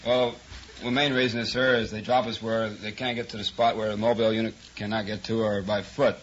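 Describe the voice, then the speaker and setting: A man's voice: lowish voice, level tone, a little fast, fairly bright tone. A man answering a host's questions politely and plainly as a contestant on a 1950s radio quiz show. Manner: polite, plain-spoken, says sir often. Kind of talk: answering interview questions on air. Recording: dull, slightly noisy 1950s radio.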